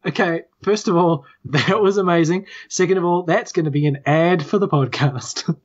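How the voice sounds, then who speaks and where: A man with a New Zealand accent, running a tabletop game in a casual, halting, self-deprecating way. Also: on the high side. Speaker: game master. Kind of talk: casual game recap and narration. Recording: slightly muffled, music behind. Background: background music.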